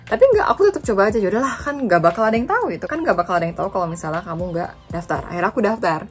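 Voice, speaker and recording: A woman's voice: mid-range voice, very animated, rapid-fire. A young woman from Indonesia talking casually in a reflective vlog, chatty and dropping in English phrases. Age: young adult. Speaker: student vlogger.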